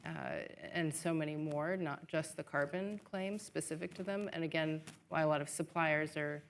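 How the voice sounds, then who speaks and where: A woman speaking as a panelist, measured and hedging, with frequent fillers. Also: sits low, steady tone, slight background noise. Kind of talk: panel discussion remarks.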